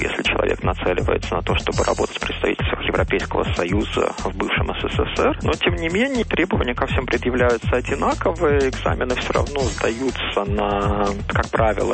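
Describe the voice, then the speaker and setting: A man working as a legal adviser, answering interview questions in a measured, explanatory way with some hesitations. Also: on the low side, creaky. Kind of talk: recorded radio interview answers. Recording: radio broadcast with music underneath.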